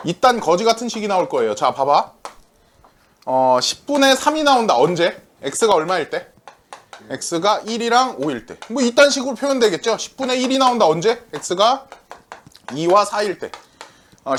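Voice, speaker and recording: Lively high-voiced man teaching math. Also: a little hiss or hum. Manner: lively, asks questions and answers them himself; talks through arithmetic aloud. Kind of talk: classroom math lecture.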